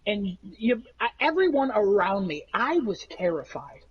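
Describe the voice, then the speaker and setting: A man with a nasal voice, rambling through animated stories in a casual chat among friends. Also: high voice, unhurried, breathy edge, lo-fi sound. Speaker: podcast co-host.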